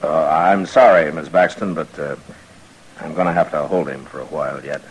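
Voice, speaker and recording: Very deep-voiced American frontier marshal. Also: male voice, steady tone, slightly rough, dull audio, heavily acted. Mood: firm, measured. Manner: measured, hesitant pauses, laconic. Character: steady, weary frontier US marshal, middle-aged man. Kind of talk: old-time radio western acting. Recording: noisy vintage radio drama recording.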